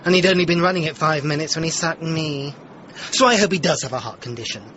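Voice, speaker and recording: A man's voice: on the high side, fast talker, fairly bright tone, a little hiss or hum, close to an everyday voice. An actor playing a wry, theatrical, put-upon British male assistant in a radio comedy drama. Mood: gossipy, spiteful. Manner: theatrical, wounded, droll. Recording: dull sound over clear background ambience.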